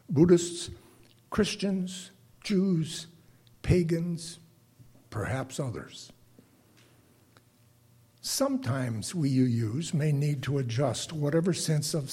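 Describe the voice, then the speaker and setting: An older man with a bass singing voice gives a reflective, prepared lay sermon to a congregation, speaking deliberately and haltingly with pauses. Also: mellow, husky. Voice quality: self-described bass voice.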